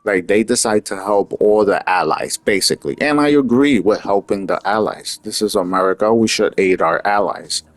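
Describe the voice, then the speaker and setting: Low-voiced man ranting earnestly. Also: normal speed, hardly any pauses, no echo. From Puerto Rico. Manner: earnest, opinionated, talks in loose rambling asides. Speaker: vlogger. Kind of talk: casual opinion monologue.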